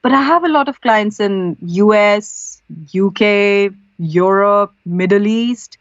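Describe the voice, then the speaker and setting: Woman from India, pro voice-over artist as guest, earnest and practical, medium pitch, slightly muffled recording. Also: slow, no echo. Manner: earnest, practical, gives advice step by step. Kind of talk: interview answers. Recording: slightly dull, lo-fi audio.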